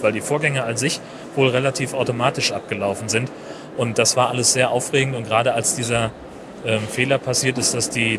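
Guy rambling on his podcast, lots of ums, street noise behind him. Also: medium pitch. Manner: chatty, many fillers and restarts. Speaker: podcast host. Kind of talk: casual solo podcast recap. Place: outdoors at a busy conference venue. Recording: noisy outdoor background. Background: crowd and traffic ambience.

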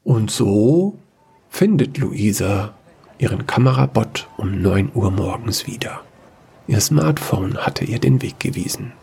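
Slow, low, gravelly male storyteller. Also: animated, a little mellow. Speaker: narrator. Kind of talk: scripted narration of a sci-fi fairy-tale audio drama.

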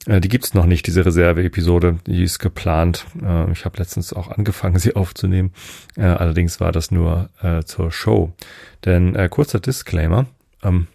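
A man from Germany, hosting a bedtime podcast in a casual, unhurried, meandering monologue, thinking aloud. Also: low voice, gravelly, dark tone, husky, no echo, clean studio sound. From northern Germany. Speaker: podcast host.

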